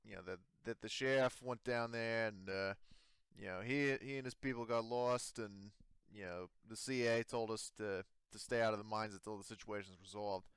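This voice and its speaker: A man voicing an apathetic, disinterested mine foreman in a tabletop game, flat and evasive in manner. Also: fairly low pitch, moderate pace, clean tone. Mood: unenthused, insistent.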